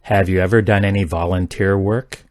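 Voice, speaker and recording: A man's voice: low-pitched, normal speed, no echo, clean studio sound. Man reading practice sentences twice. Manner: reads each sentence twice, careful and even. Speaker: English sentence reader. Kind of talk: slow repeated sentence reading for learners.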